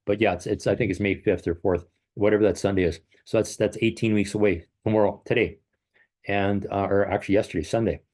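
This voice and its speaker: A man in his sixties with a somewhat low voice talks loosely to a virtual running clinic. He wanders from point to point, catches and corrects himself mid-sentence, and keeps things upbeat. He sounds like he is on a computer call. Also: fairly even intonation, fast talker, darkish tone. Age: 60s. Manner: rambling, self-correcting, upbeat asides. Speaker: running coach and race director. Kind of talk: casual talk to a virtual clinic. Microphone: computer video call.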